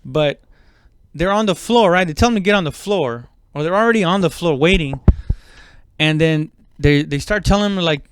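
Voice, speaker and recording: A man's voice: a little high, normal speed, a little hiss or hum. A man in his thirties from Mexico, a comedian telling a story casually, swearing and interrupting himself as he rambles. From Mexico, moved to the US at seven. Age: 30s. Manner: profane, rambling, self-interrupting. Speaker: stand-up comedian.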